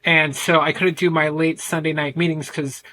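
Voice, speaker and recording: A middle-aged American man with a loud voice, telling his story candidly in a conversational interview, with frequent restarts and fillers. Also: on the high side, level tone, normal speed. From United States. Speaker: guest, men's ADHD coach.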